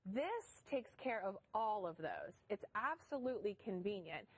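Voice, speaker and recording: A woman with an Ohio accent, pregnant, giving an emphatic product pitch on a shopping channel. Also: big pitch swings, measured pace, slightly rough. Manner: upbeat, emphatic selling patter. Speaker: product spokeswoman.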